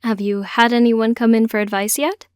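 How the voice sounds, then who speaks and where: A woman voicing a caring, gentle mother of a grown child in an audiobook story. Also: on the high side, level tone, moderate pace, no room sound, studio quality, lightly acted. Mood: eager.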